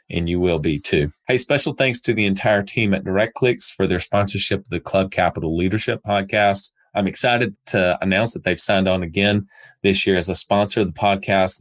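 A man hosting a leadership podcast, upbeat and grateful, sounding a little nasal from illness. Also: deep voice. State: nasal from a cold. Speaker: podcast host. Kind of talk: podcast interview and outro.

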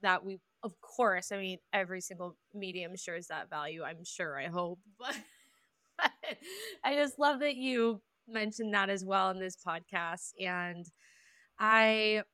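Bright, smooth-voiced chatty woman host. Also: medium pitch, a little slow, dry recording, clean studio sound. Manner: enthusiastic, chatty, fillers like "literally". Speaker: podcast host. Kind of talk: casual podcast conversation.

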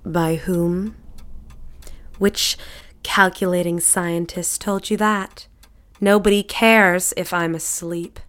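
A woman's voice: on the low side, fairly even intonation, slow, fairly bright tone. A woman playing a bitter, forgetful bedridden housewife in an audio drama, sardonic and imperious, with a drawling delivery. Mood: scornful, weary.

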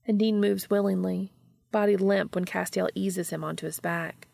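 A woman's voice: medium pitch, steady tone, normal speed, vocal fry, dark tone, no echo, clean studio sound. A woman reading scripted fiction as an audiobook narrator.

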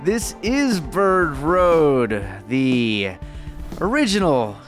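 Male co-host, animated pitch, easygoing and amused. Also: extremely slow, faint music behind. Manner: amused, laughs easily. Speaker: podcast co-host. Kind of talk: mock news interview and casual chat.

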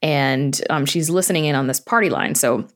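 A woman's voice: deep voice, normal speed. A woman speaking as an interview guest in a casual, chatty way, explaining her thoughts informally. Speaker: interview guest, horror fiction podcast creator.

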